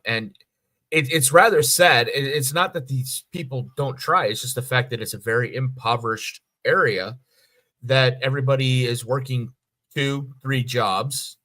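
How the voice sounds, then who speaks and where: Middle-aged American man, bright forceful voice. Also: mid-range voice, measured pace. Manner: rambling, profane. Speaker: podcast co-host. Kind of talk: casual chat.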